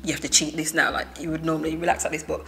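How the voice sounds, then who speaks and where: Woman, actor, low-pitched, thoughtful, hesitant phrasing. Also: flat delivery, very fast, creaky, a bit bright, slight background noise. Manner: thoughtful, searching for words. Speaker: actor guest. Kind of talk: casual panel chat.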